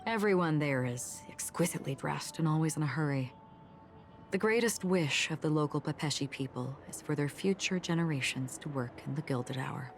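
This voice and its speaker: A calm mysterious wanderer with a sword, deep female voice, soft n solemn, video game style, faint music. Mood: calm, descriptive. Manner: measured, solemn, soft-spoken. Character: melancholy, forgetful swordswoman, calm and enigmatic wanderer. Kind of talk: scripted game dialogue, reflective storytelling.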